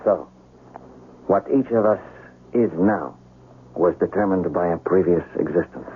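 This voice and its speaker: An impassioned young samurai in a vintage radio play. He declaims earnestly and formally, lovesick and defiant, in a middling-pitched voice over a dull, hissy recording. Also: unhurried. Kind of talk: 1970s American radio drama acting. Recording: muffled, hissy old radio broadcast.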